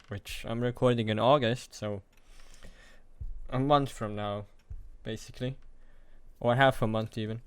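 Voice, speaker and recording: A young man in his late teens talking alone to the camera in a hesitant, halting, self-deprecating way, as a confessional vlog. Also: medium pitch, fairly even intonation, clean tone, dry recording. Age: young adult. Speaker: vlogger.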